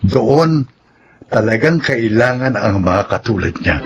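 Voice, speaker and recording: An actor in a Filipino radio drama playing a reasonable, reassuring psychiatrist advising a couple. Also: male voice, on the low side, expressive, a little slow. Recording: dull sound with faint noise.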